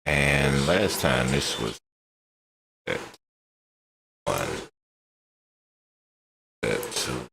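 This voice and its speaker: A man doing a slow, offhand mic check, mumbling test phrases as he tries out settings. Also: rumbling low, big pitch swings, gravelly, fairly bright tone, a little hiss or hum.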